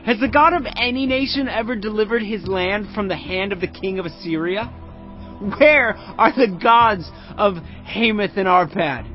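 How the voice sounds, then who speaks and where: A man's voice: high-pitched, moderate pace, lightly acted. A man playing a boastful, mocking Assyrian commander. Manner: boastful, mocking, declaiming loudly. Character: arrogant Assyrian field commander taunting a city. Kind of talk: dramatized audio Bible acting. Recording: muffled lo-fi audio under music. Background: cinematic music.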